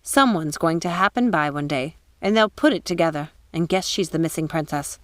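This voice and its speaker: A woman's voice: sits low, brisk, no room sound, lightly acted. A woman voicing a cunning witch who fakes sweetness and concern. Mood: scheming.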